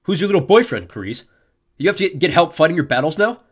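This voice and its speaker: A man's voice: mid-range voice, big pitch swings, moderate pace, voice pushed up. A man voicing a sneering teenage god of fear who taunts and mocks. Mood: mocking. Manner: taunting, mocking, smug. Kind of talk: audiobook character voice.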